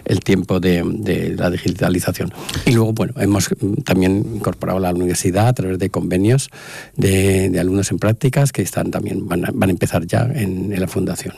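A man's voice: low-pitched, steady tone, fairly quick, vocal fry, dark tone, breathy edge, dry recording. A man from Spain, a foundation director, answering in a radio interview in a hesitant way with many fillers and restarts.

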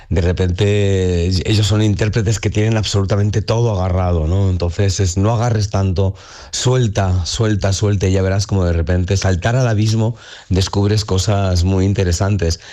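This is a man in his fifties with a very low voice. He is a dancer and choreographer who speaks thoughtfully in an interview. He often circles back on his words and ends lines with little tag questions. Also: mellow. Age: 50s. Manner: reflective, repeats words and adds tag questions. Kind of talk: conversational interview.

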